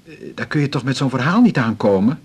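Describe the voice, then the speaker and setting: Shy, halting young student, husky. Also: male voice, medium pitch, lively intonation. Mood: embarrassed, anxious. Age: young adult. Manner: hesitant, halting, apologetic. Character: embarrassed, insecure young male student seeing a doctor. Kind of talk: naturalistic 1980s radio drama acting.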